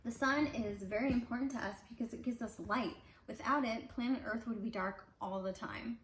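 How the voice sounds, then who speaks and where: Mom reading a picture book to kids, chatty little asides, echoey room, bit of background noise. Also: female voice, fairly high pitch, normal speed, a bit bright. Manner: conversational, explains pictures as she reads. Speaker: parent reading aloud to children. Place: indoors at home. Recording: roomy, echoey home recording with faint noise.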